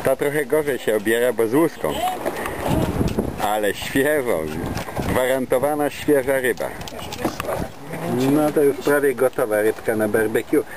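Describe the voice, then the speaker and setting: A man's voice: medium pitch, unhurried, pauses often. An older man from Poland casually narrating his sailing trip outdoors on a catamaran, filmed on a handheld camera. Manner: chatty, commenting on what he films. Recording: loud boat and water noise.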